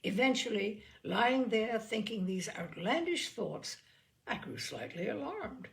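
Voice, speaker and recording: Animated woman storyteller with a creaky edge. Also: fairly high pitch, unhurried. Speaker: storyteller, narrator. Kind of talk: humorous short story reading.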